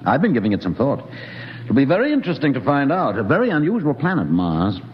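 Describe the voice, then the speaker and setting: In a muffled, echoing old radio recording, a brooding scientist on a spaceship. He muses deliberately and sardonically, his pitch swinging expressively, in the manner of 1950s radio drama. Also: wildly swooping pitch, a little hiss or hum. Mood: musing. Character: brooding, coolly philosophical male scientist aboard a spaceship.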